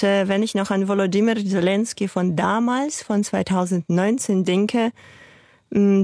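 A woman's voice: medium pitch, darkish tone, no echo, studio quality. Thoughtful woman from Ukraine. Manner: thoughtful, hesitant with fillers. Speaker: interview guest, journalist. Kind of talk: casual interview talk.

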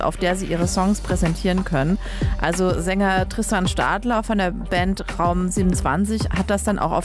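A woman from Leipzig, Germany, hosting a radio music show in a casual, chatty, colloquial way. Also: mid-range voice. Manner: chatty, colloquial, trailing tag questions. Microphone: close studio radio microphone.

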